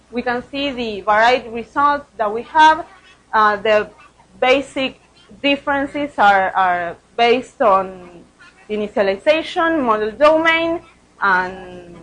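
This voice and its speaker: A woman's voice: a little high, a bit bright, poor audio. A woman researcher presenting study results at a conference, speaking hesitantly and often repeating words.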